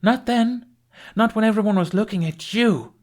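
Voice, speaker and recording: A man voicing an angry young Viking warrior woman in an audiobook, forceful and accusing. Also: high-pitched, moderate pace, pitched above the performer's own voice, close to an everyday voice. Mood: bitter, accusing. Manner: indignant, forceful, shouting accusations.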